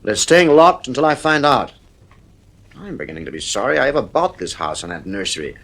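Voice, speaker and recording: A man's voice: medium pitch, sing-song, fairly quick, a bit bright. An actor in a radio play playing a strict, worn-down father, stern, irritable and emphatic. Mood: worried, weary.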